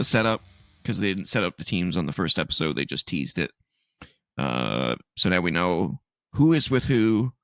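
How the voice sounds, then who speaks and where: A man co-hosting a casual podcast, stuffy and sniffly from allergies, loose and laughing, swooping high for emphasis. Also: a bit bright, no room sound. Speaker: podcast co-host. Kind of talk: casual chat.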